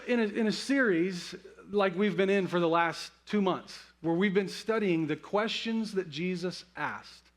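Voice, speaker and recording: A middle-aged man with a notably high voice preaches like a pastor. He talks in a conversational, storytelling way. He slows into dramatic pauses to give key words weight. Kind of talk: sermon with personal storytelling.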